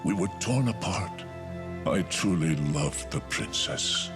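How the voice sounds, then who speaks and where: A man's voice: low-pitched, animated, slow pace. A man playing a grave, regal older king and father in a fantasy game, speaking solemnly and with command. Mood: sorrowful pleading. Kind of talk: dramatic fantasy game voice acting. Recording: music behind. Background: music.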